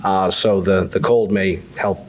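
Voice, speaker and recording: A man's voice: low voice, fairly even intonation, measured pace, slight background noise. A man with a cold giving affable, self-deprecating town hall remarks with frequent "uh" fillers. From New Hampshire. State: has a cold. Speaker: state insurance commissioner.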